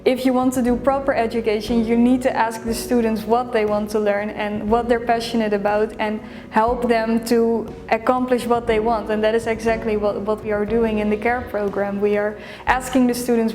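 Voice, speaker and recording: A woman's voice: fairly high pitch, flat delivery, a little fast, hardly any pauses, darkish tone, big-room sound. A woman from the Netherlands speaking directly and enthusiastically in a documentary interview. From Rotterdam, Netherlands.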